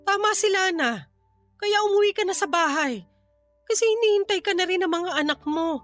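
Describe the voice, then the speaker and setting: An actress playing a caring but gently reproachful older sister in a radio drama, speaking in an emotional, imploring way. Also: female voice, very high voice, moderate pace. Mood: urging, reassuring. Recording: music bed under the voice.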